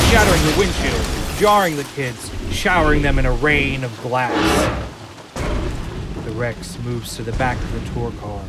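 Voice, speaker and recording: A man narrating an audio drama from a script in a deliberate, suspenseful way. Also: on the high side. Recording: loud rain and storm effects behind.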